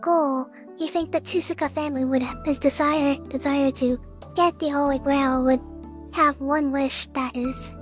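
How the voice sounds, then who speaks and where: A teenage girl doing a casual let's play, reading a visual novel aloud and commenting, with halting delivery and repeated words. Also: high voice, steady tone, soft background music.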